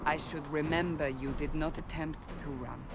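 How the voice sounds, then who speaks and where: A woman's voice: low-pitched, steady tone, moderate pace. A woman voicing a stern, wary female warrior in a game, with a clipped, authoritative way of speaking. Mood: grave. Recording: game dialogue over loud ambient noise.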